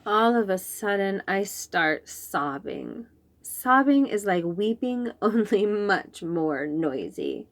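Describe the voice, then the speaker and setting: Expressive woman reading a picture book. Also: mid-range voice, slow pace. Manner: expressive, animated. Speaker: children's book reader. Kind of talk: read-aloud storytelling for young children.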